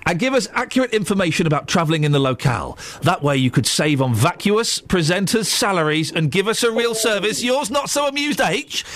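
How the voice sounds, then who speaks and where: Bunged up radio dj, teasing a caller, slight hiss behind. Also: male voice, sits high, expressive, brisk, hardly any pauses, a bit bright. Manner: teasing, playful banter. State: bunged up nose. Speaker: radio presenter. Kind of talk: casual radio phone-in chat.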